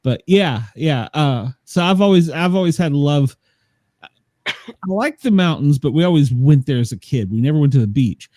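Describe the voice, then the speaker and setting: A man hosting a casual interview, chatty and quick to laugh. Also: fairly high pitch, animated, mellow, no echo, studio quality. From Washington, D.C.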